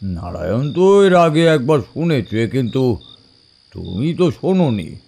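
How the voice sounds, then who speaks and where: Man acting an animated older village uncle storyteller. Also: mid-range voice, measured pace, gravelly, full character voice. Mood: confiding. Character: older village uncle telling eerie tales, unhurried, opinionated. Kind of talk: Bengali audio drama storytelling.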